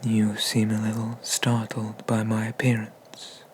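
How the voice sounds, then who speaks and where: A man voicing an ancient, haughty and menacing dragon god of the sea in a breathy, pompous whisper for an ASMR roleplay. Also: fairly low pitch, completely flat delivery, slight background noise, lightly acted. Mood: curious, looming. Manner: slow, pompous, condescending, with drawn-out pauses.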